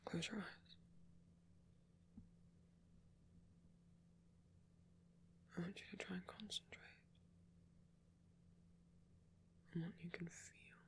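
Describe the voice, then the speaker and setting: A man playing a gentle, tender old vampire priest in an intimate ASMR roleplay, speaking slowly and hesitantly in a soft, breathy whisper. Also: sits high, steady tone. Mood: soothing, guiding. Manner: slow, hesitant, reassuring, softly formal phrasing.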